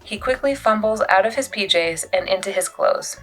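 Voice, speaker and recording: A woman reading a children's novel aloud as an expressive storyteller, with dramatic pauses and emphasis. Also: medium pitch, faint music behind. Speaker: youth services librarian reading a book aloud.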